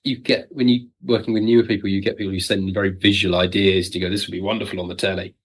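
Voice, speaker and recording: A man's voice: low voice, little pitch movement, fast talker, husky. A British man chatting as an interview guest and writer, with a wry, jokey way of acting out remarks. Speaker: guest, audio drama writer. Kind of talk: casual conversational interview.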